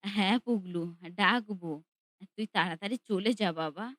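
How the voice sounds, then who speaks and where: A woman voicing a gentle, sad old grandmother in a cartoon, talking softly and pleadingly. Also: mid-range voice, normal speed, natural voice. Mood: tender. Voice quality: soft, pleading. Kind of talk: cartoon voice acting.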